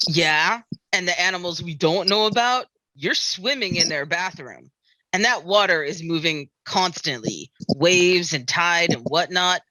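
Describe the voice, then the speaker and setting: A woman's voice: on the low side, unhurried, sharp tone, no echo, low-quality recording. A woman playing a fretful beach-town sheriff at a script read, ranting with exasperated emphasis. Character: fretful, griping small-town beach sheriff afraid of water. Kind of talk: musical script table read.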